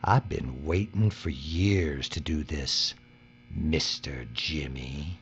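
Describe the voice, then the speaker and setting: A man's voice: rumbling low, takes it slowly, soft background music, full character voice. A man playing a weary, desperate worker with simmering hatred in an audio drama, speaking with intensity. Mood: cold resolve. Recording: muffled audio with faint hum.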